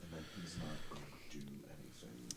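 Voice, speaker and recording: A man's voice: very deep voice, brisk, a loud crowd. A man casually narrating his tabletop game moves in short phrases. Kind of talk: casual chat during tabletop gameplay. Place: indoors around a game table. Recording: echoey room with clear background noise.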